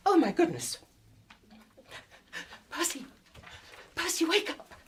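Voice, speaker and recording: Woman acting an excitable, high-voiced young writer. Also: takes it slowly, a little hiss or hum, exaggerated voice. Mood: excited. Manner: earnest, dramatic, emphatic. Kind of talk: theatrical period radio drama dialogue. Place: theatre stage, live performance.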